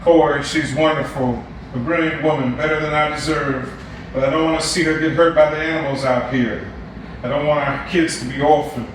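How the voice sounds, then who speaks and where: A man's voice: a little high, steady tone, fairly quick, slight background noise, lightly acted. An actor in a staged reading playing an imprisoned male civil-rights leader who pleads, torn and impassioned, heard from a distance on an echoey stage. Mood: anguished. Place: stage or theater.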